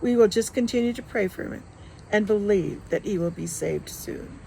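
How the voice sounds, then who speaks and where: An earnest man of faith comforts an old friend in a novel read aloud by a woman. The delivery is gentle and sincere, with creak in the voice. Faint noise sits behind it. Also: medium pitch, moderate pace, natural voice, low-quality recording. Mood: reassuring.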